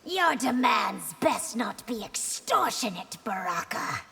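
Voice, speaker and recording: A resolute game empress with a high voice and some rasp at the edges. Each line is slow, regal and commanding, ringing in a long reverb. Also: female voice, sing-song, exaggerated voice. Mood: stern, resolute. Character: proud, resolute empress hiding a feral affliction. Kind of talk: video game character acting. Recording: long hall reverb, music behind.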